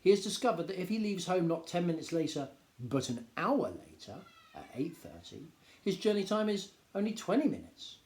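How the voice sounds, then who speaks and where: A man reading a popular non-fiction book aloud like a teacher, explaining in a measured, expressive way. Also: high voice. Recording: echoey, roomy sound.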